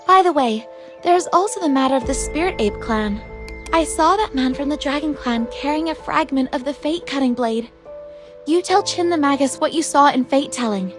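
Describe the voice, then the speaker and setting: A woman's voice: sits high, normal speed, music in the background, close to an everyday voice. A woman playing an earnest, trusting young female disciple in a fantasy game, speaking frankly and conversationally. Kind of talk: dubbed fantasy game cutscene acting.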